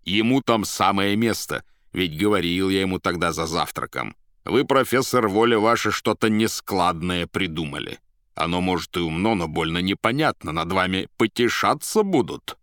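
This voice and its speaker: A man voicing a mysterious foreign gentleman, polite and slyly menacing, who draws out and savours his words. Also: sits low, measured pace, dry recording. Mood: animated.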